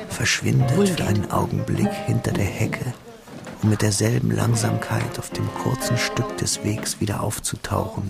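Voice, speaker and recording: Pensive guy narrating his school days, radio play, creaky lowish voice, music behind. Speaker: first-person narrator. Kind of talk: scripted radio drama narration.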